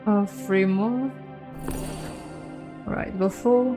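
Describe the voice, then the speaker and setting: An unhurried woman hosts a game playthrough in a playful, chatty way. She takes her time, thinking aloud over faint game music. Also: medium pitch, steady tone. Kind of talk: casual let's play commentary mixed with reading game dialogue aloud.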